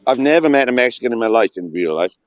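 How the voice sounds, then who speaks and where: A man's voice: medium pitch, animated, fast talker, no echo. A young man in a heated voice-chat argument, pushing his point hard and talking over the other man. His audio is dull and lo-fi, with a dry, close sound and no room around it. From Wales. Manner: insistent, talks over the other man, repeats himself.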